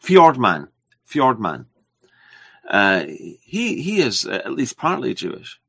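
A man's voice: mid-range voice, huge pitch swings, takes it slowly, gravelly, fairly bright tone, breathy edge, dry recording, studio quality. A man co-hosting a casual chat, speaking hesitantly and stumbling over his words. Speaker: co-host.

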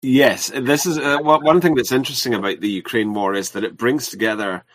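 A man hosting a livestream interview, talking in a halting way with many fillers and restarts. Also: medium pitch, steady tone, fairly bright tone, dry recording. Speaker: livestream host. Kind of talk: casual interview chat.

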